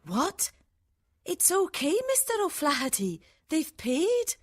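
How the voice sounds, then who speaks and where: Woman acting a high-voiced, kindly young woman. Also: wildly swooping pitch, takes it slowly, heavily acted. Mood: cheerful. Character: kind, cheerful, soothing young woman. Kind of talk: acted audiobook dialogue.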